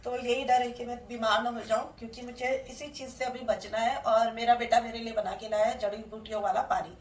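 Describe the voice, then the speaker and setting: A woman's voice: a little high, fairly even intonation, a little fast, slight background noise. A middle-aged woman with a sore throat and a cold, chatting homely to her viewers as friends in a casual home vlog. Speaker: vlogger. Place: at home, indoors. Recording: roomy sound from a distant mic.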